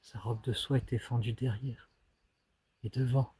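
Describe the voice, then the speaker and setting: A man acting an anguished, bitter and dreamy teenage schoolboy in a declamatory theatrical monologue, swinging between outbursts and hushed musing. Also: medium pitch, level tone, unhurried, husky, no echo. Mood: dreamy, melancholy.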